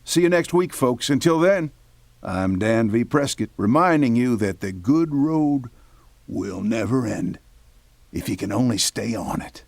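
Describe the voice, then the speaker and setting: A man's voice: medium pitch, lively intonation, unhurried, gravelly, dry recording. A man announcing the show in an old-time radio style.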